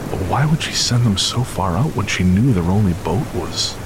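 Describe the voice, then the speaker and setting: A man's voice: fairly low pitch, expressive, moderate pace, background noise. A man narrating a scripted audio drama in a dramatic storytelling style. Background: loud music with rain and thunder.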